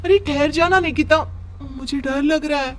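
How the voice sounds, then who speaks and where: A woman's voice: sits high, unhurried, a little hiss or hum. A woman voicing a timid, anxious, fretful young working woman in a horror cartoon, with music underneath. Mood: frightened, pleading. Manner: worried, pleading.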